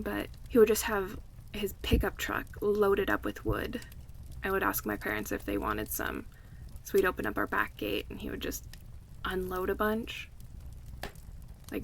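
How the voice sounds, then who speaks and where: Woman playing a soft-spoken, creaky-voiced cozy friend. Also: mid-range voice. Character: affectionate young woman, cozy longtime friend hosting a night in. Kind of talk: intimate ASMR roleplay, casual one-sided chat. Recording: faint background ambience noise.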